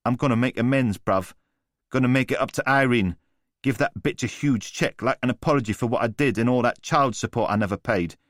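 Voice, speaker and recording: A male narrator voicing a swaggering young criminal who talks in street slang, pitched up from his own voice. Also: brisk, no echo, clean studio sound, natural voice. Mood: cocky.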